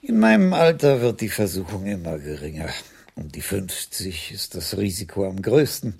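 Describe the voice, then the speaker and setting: An impish police chief in his sixties for a radio crime drama. His voice is fairly low, gravelly and husky. He talks drily, dodging and teasing, never flustered. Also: sing-song, moderate pace, a little mellow, full character voice. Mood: needling. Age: 60s. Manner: dry, evasive, teasing. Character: wily, unflappable, impish aging police commissaris.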